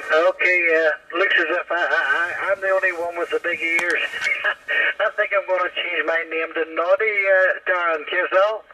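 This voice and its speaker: A man's voice: high voice, fairly even intonation, moderate pace, sharp tone. A man chatting as an operator on two-way CB radio, heard through the set's speaker. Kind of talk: CB radio contact chat. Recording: distant, muffled, noisy CB radio reception.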